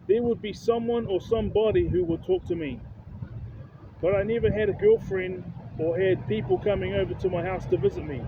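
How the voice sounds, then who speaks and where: A man in his late thirties with a New Zealand accent, giving an earnest, personal motivational monologue and often repeating or restarting phrases. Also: high voice. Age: 30s. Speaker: self-help vlogger. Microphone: phone held close. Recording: tinny phone audio, loud street traffic. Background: cars and street noise.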